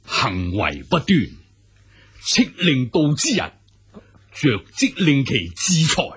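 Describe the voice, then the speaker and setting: A man's voice: medium pitch, very animated, fairly bright tone, slightly rough, heavily acted. An actor playing an imperious, suspicious chancellor and father in a Cantonese radio drama, stern and authoritative, with a Hong Kong Cantonese accent. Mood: commanding. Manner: stern, authoritative, drawn-out delivery.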